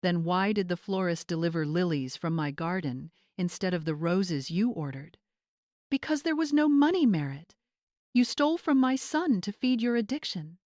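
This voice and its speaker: A wealthy older mother from a family drama story, read by a woman. She is steely and controlled. Her words are measured and stern, in a dry recording. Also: lowish voice, sing-song, darkish tone, no room sound. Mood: cold, accusing.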